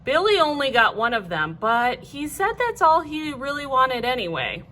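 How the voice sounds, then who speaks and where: A woman reading a children's storybook aloud like a teacher, in an animated, clearly phrased way. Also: high voice, moderate pace, slight background noise.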